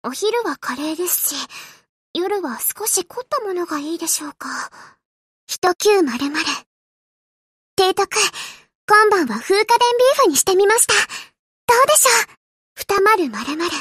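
A woman voicing a gentle, eager young ship girl in an anime game, sweet, polite and earnest, a little hesitant. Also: extremely high pitch, sing-song, measured pace, pauses often, no echo, studio quality, full character voice. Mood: gentle, homely. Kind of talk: Japanese anime game character voice lines.

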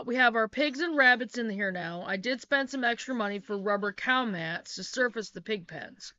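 A middle-aged woman reads her how-to notes in a bright, forceful, fairly high voice, practical and plain, now and then correcting herself mid-phrase. She sits at a laptop webcam in a small wood-paneled room. The sound is dry and dull, lo-fi. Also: clear, resonant tone, no room sound. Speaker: homesteading vlogger. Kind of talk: reading a how-to narration.

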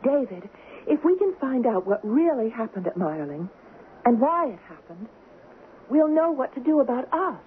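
An actress playing an earnest, romantic young woman haunted by the past, in 1970s radio drama style with dramatic pauses. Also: on the high side, sing-song. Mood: urgent, earnest. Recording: noisy, phone-like 1970s radio recording.

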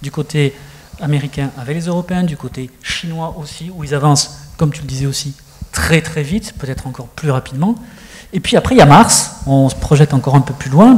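A middle-aged man with a somewhat high voice hosts a studio interview. He speaks conversationally, setting up topics and asking questions into a handheld mic. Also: a little mellow. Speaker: host. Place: studio set with screens.

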